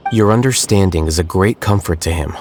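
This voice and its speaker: An actor playing a calm, composed scholar. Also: male voice, low voice, a little fast, slight background noise, lightly acted. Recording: game soundtrack behind.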